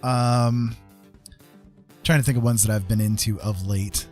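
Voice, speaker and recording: A middle-aged man with a fairly low voice talks casually on a livestream, with music clearly playing behind him. He rambles in a chatty way, often hesitating as he addresses his viewers. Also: fairly even intonation, a little slow, a little mellow. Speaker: livestreamer and digital artist.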